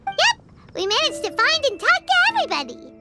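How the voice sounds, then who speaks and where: A tiny fairy sidekick from a video game. The voice is extremely high and squeaky, with huge swoops. It is childlike, bubbly and cheeky. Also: female voice, wildly swooping pitch, a little fast, over loud music, full character voice. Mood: excited. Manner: bubbly, refers to herself in third person. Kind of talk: animated video game voice acting.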